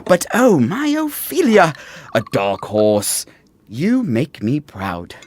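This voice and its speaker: A man's voice: on the high side, huge pitch swings, slow pace, heavily acted. An actor playing an ambitious, effusive middle-aged royal advisor and father in a modern audio drama. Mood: proud. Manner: effusive, theatrical.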